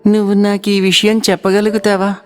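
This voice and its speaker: A woman's voice: medium pitch, monotone, brisk, darkish tone, in a large hall, voice pushed down, close to an everyday voice. A woman voicing an earnest, anxious village boy in a cartoon storybook. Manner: earnest, pleading.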